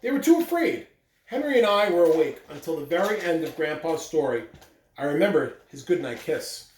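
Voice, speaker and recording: A man reading aloud to children in an expressive storytelling style, with deliberate emphasis on key words. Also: on the high side. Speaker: school staff member reading aloud on morning announcements. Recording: roomy echo, distant mic, faint background noise.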